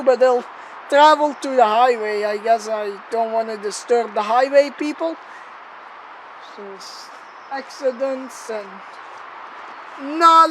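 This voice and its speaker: A woman chatting casually and rambling as she presents a gear test outdoors, informal, sweary and self-deprecating, in a noisy location recording. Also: fairly high pitch. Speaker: gear tester presenting a megaphone test video. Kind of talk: casual rambling vlog chat. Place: outdoors in an open area near a road.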